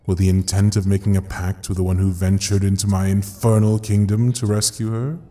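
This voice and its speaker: An actor playing an ancient devil who rules hell, taunting and theatrical in an audio roleplay with a drawn-out delivery. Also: male voice, deep voice, flat delivery, mellow, natural voice. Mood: menacing interrogation. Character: ancient, mocking male devil ruling hell. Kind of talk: dramatic audio roleplay acting.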